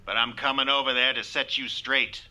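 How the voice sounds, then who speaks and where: A man's voice: medium pitch, steady tone, normal speed, natural voice. A man playing a blunt, menacing prizefighter with slangy talk in a radio detective drama.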